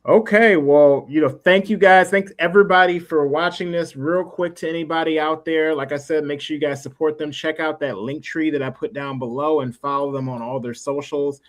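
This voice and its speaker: A man's voice: fairly high pitch, fairly even intonation, normal speed, hardly any pauses. A man hosting a casual livestream interview, talking in a rambling, encouraging way and often searching for words. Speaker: livestream show host.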